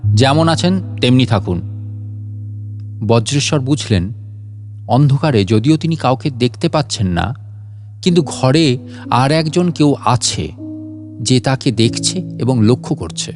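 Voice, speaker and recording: Male story narrator, dramatic scripted read, expressive intonation, light music underneath. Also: mid-range voice, a little slow, long pauses.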